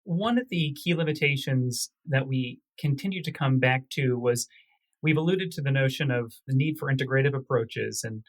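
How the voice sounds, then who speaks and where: A man's voice: mid-range voice, rough recording. Male research physician guest. Medium register, formal technical explanation, precise and careful phrasing.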